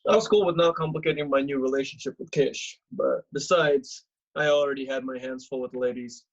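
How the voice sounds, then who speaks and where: A cocky young ladies' man played by a man in a comedy read, breezy and boastful. His voice sits at a middling pitch with a rough, husky edge. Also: a little fast, lo-fi sound, natural voice. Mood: smug. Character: cocky, oblivious young male actor, proud ladies' man. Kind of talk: remote table read of a comedy web series script.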